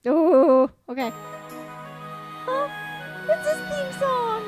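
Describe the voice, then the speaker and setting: A woman's voice: very high-pitched, very animated, extremely slow, over loud music. A woman co-hosting a let's play, giving brief, informal reactions in casual chat about a video game. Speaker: let's play co-host, first-time player.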